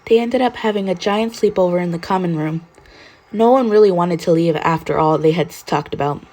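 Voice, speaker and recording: Casting: female audiobook-style narrator, lowish pitch, reading prose fiction from a script. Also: a little fast, warm tone. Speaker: podfic narrator.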